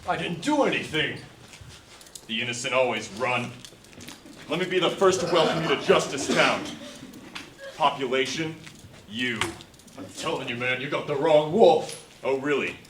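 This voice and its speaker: An actor in a comic fairytale play, recorded from afar in an echoey room. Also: male voice, medium pitch, moderate pace, pauses often, fairly bright tone, natural voice. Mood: defiant, confrontational. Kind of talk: comic stage-play acting. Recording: distant, echoey room, faint noise.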